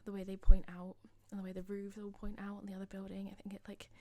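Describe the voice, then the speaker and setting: A young woman with a slightly husky voice, rambling casually over a game build video. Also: medium pitch, completely flat delivery, quick pace, warm tone. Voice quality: slightly husky, losing her voice. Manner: rambling, chatty, self-deprecating. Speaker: gaming channel host.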